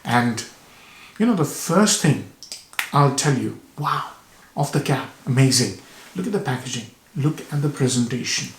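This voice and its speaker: A man with a cold, hoarse and with a blocked nose, reviewing a fragrance to camera with enthusiasm, repeating words and pausing for breath. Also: mid-range voice, expressive, slight background noise. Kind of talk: casual product review to camera. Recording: echoey room.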